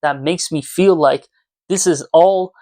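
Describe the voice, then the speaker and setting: A man's voice: fairly high pitch, measured pace, dark tone, no room sound, studio quality. A twenty-year-old man talking earnestly and reflectively to viewers in a casual, confessional vlog recorded in his room at home. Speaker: YouTuber. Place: small room at home, indoors.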